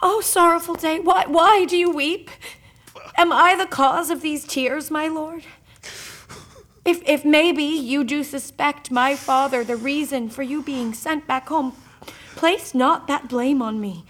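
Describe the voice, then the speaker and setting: A woman playing a gentle, loyal, bewildered noble wife, pleading in a theatrical Shakespeare audio drama. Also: high voice. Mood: distressed. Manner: pleading, measured.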